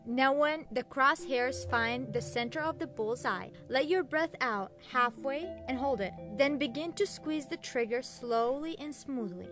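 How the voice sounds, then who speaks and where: A woman playing a steady, patient weapons expert with a Russian accent in an audio drama. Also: high-pitched, unhurried, hardly any pauses, music in the background. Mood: instructive, calm. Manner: instructive, calm, firm.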